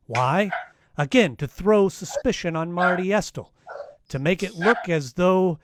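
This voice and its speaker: A man's voice: sits high, lively intonation, a little slow. Man playing a wisecracking hardboiled detective, noisy recording. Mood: taunting. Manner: sardonic, taunting, wry. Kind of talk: 1940s radio detective acting, live performance. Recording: noisy home live-stream recording.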